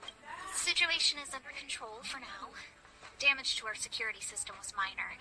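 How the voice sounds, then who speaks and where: Earnest young female station officer, anime game; high, extremely bright and crisp-edged, businesslike, light music behind. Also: lively intonation, a little fast, exaggerated voice, rough recording. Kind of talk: anime-style game voice acting.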